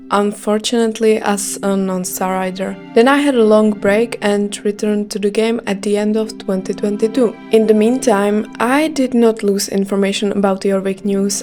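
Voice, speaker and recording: A woman's voice: medium pitch, darkish tone. A young Czech woman with a light Czech accent, reading a scripted gaming video with care. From Czech Republic. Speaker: gaming YouTuber.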